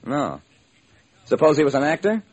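Wry private detective, swooping delivery. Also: male voice, fairly high pitch, very animated, husky. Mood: matter-of-fact. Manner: dramatic, deliberate, wry. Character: hard-boiled, wry male private detective telling his case. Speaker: narrator and lead detective. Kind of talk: old-time radio detective narration. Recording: noisy old radio recording.

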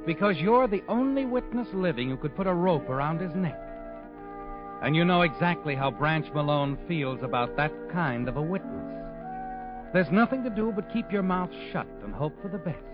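A man's voice: a little high, animated, moderate pace, natural voice. An actor playing an all-knowing narrator of crime tales on 1940s radio, taunting and ominous in his dramatic delivery. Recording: muffled old radio recording. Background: dramatic music.

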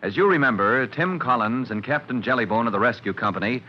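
A male radio narrator with a medium-pitched voice. He declaims dramatically in the style of a 1940s serial. The old recording is dull and noisy. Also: fast. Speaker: announcer and narrator.

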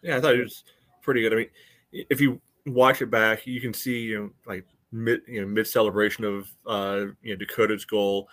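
A man with a fairly low voice talks sports on a podcast, recorded very dry. His analysis is casual and rambling, circling through filler phrases. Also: level tone, fairly quick, a bit bright, dry recording. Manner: rambling, peppered with "you know".

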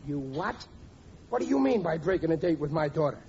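A muffled, hissy vintage radio recording of a middle-aged sitcom father. He is a blustery, wheedling working man with poor grammar, pitched high and very lively in his swings. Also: a little fast. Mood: blustery, indignant. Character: blustery, scheming, soft-hearted working-class family father. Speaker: sitcom lead actor. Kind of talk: 1940s radio comedy acting.

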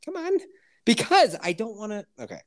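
A woman hosting a podcast in casual, profane chat, ranting and laughing loudly, still stuffy from a cold. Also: fairly high pitch, huge pitch swings, moderate pace. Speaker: podcast host.

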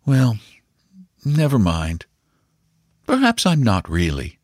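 A weary mother as a male narrator plays her explains things to her child with quiet bitterness. The voice is lifted in pitch, in the manner of audiobook dialogue, and recorded very dry. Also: huge pitch swings, slow pace, no room sound, exaggerated voice. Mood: dismissive.

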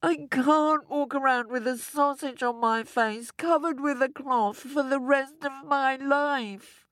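A woman's voice: high voice, fairly even intonation, slow pace, no echo, voice pushed up. A woman voicing a blustering, panicking, self-pitying husband in a storybook, melodramatically roaring and wailing. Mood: demanding, desperate.

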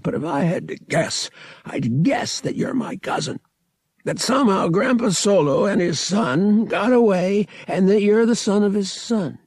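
Guy doing a nasty young cousin in an audiobook, mocking and gleeful, voice jumps up high, kinda gravelly. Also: expressive, a little slow, a little mellow, no room sound, studio quality, heavily acted. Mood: strained, insistent. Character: taunting, cruel young male cousin, sadistically gleeful.

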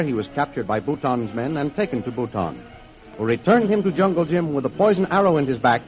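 A man's voice: a little high, darkish tone. A man narrating an old radio adventure serial as its announcer, in a formal, dramatic style. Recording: muffled old radio broadcast recording. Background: music.

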